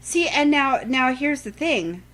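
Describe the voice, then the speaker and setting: A woman's voice: sits high, expressive, a little slow, fairly bright tone. A woman co-hosting a casual fan discussion, thinking aloud and speculating, with some offhand swearing. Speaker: podcast co-host.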